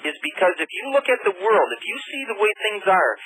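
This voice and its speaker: A man calling a radio show by telephone, explaining his views in an earnest, halting, persistent way. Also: high voice, big pitch swings, bright, forceful tone. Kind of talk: live phone-in debate. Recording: faintly noisy radio phone-in call.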